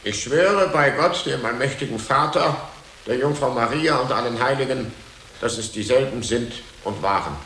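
An actor playing a dutiful, guardedly humble medieval monk librarian in a radio drama, giving formal, deliberate testimony. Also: male voice, mid-range voice, pushed and bright, close to an everyday voice. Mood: solemn. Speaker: monastery librarian in a radio play. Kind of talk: German radio drama acting. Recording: roomy echo, faint background noise.

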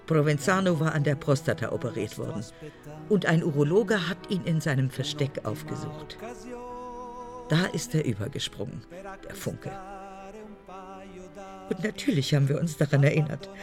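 A man's voice: on the high side, close to an everyday voice. A man playing a grieving, reflective witness recounting events in a radio crime drama.

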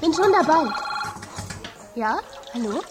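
An actress playing a friendly, determined teenage girl in a German children's audio drama, with a light, husky voice. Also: sits high, animated, takes it slowly, very noisy. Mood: polite.

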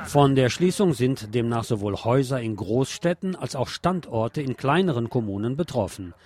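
A man's voice: mid-range voice, expressive, normal speed, a little mellow, soft background music. A man hosting a radio news programme, reading reports and adding opinionated, sardonic commentary of his own.

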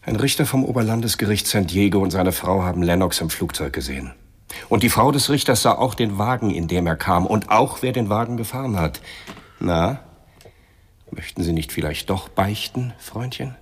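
A police inspector in a radio crime play. The voice is low and husky, gruff and condescending, always carrying a threat. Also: male voice, lively intonation, normal speed. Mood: smug, pressing. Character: gruff, menacing male police inspector, smugly hostile. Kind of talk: radio crime drama dialogue.

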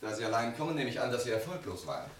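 An actor playing an uneasy, civil husband in a German radio drama, with a hesitant, politely formal way of talking. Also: male voice, medium pitch, sing-song, racing, reverberant, slight background noise, lightly acted. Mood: guarded, stiff.

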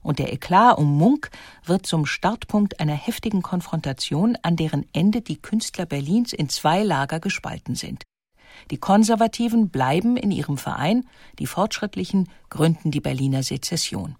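A woman's voice: fairly low pitch, lively intonation, normal speed, darkish tone, slightly rough, dry recording. Dry, close-sounding female documentary narrator. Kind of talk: scripted narration.

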